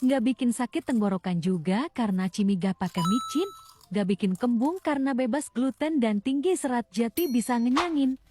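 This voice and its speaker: A woman's voice: on the high side, moderate pace. A woman with an Indonesian accent giving a casual promotional food review. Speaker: snack reviewer.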